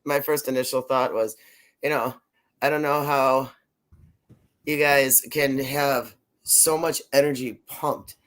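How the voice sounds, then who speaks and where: A man's voice: mid-range voice, fairly even intonation, slow pace, fairly bright tone. Guy hosting a podcast, hyped up and casual, talks slangy. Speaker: podcast host. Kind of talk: casual interview chat.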